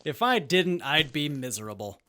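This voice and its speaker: A man's voice: fairly high pitch, sing-song, moderate pace, bright, forceful tone. A man playing a polite, upbeat young traveler who jokes through hardship, in a post-apocalyptic audio drama. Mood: joking.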